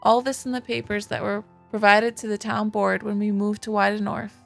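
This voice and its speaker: A woman's voice: medium pitch, flat delivery, moderate pace, creaky, soft background music, natural voice. A woman voicing a distressed, insistent young wife in a visual novel, speaking earnestly. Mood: earnest, explaining. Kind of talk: visual novel dialogue read aloud.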